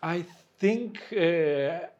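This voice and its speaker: A man's voice: a little high, expressive, drawn-out words, darkish tone, lo-fi sound. A Chilean man in his forties, a guest chatting casually in an interview, hesitant, often restarting his phrases, with dry humor. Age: 40s.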